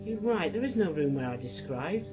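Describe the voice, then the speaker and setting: Man playing an earnest, high-voiced young companion. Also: very animated, moderate pace. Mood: puzzled. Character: Adric, earnest clever young male time-traveller companion. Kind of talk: sci-fi radio drama acting. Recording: music under the voice.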